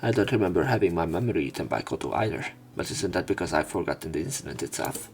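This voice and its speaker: A man reading visual novel text aloud as a let's play narrator, in measured short phrases. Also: sits low, animated, a little mellow, a little hiss or hum. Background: game music.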